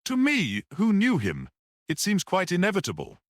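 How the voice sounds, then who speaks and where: A man voicing a composed little priest detective, lively intonation. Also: high voice, no room sound, studio quality, pitched above the performer's own voice. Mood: earnest, reflective. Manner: composed, measured, reflective. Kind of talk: classic detective audiobook character reading.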